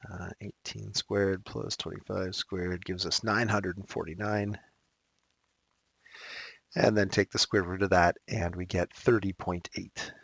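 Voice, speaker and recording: A man teaching a recorded math lesson, thinking aloud and correcting himself as he works problems, his voice slightly raspy. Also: low voice, flat delivery, unhurried, fairly bright tone, no room sound.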